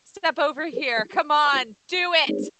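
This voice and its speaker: A woman playing a defiant but shaken young survivor in a horror script table read, with drawn-out delivery. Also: sits high, a crowd in the background, rough recording. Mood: defiant, taunting.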